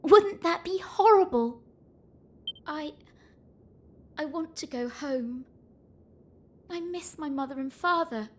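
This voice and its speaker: A woman's voice: sits high, expressive, slow, long pauses, a little hiss or hum. A woman voicing a bossy, teasing young girl adventurer who covers homesickness with bravado in a video game. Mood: wistful, uneasy.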